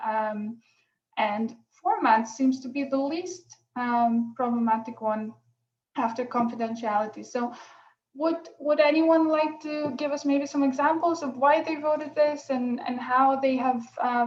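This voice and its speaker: A woman from Greece moderating an online workshop over a video call, relaxed, with many pauses and fillers. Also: a little high. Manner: relaxed, many ums, thinking aloud. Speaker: workshop facilitator. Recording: echoey room, dull lo-fi sound.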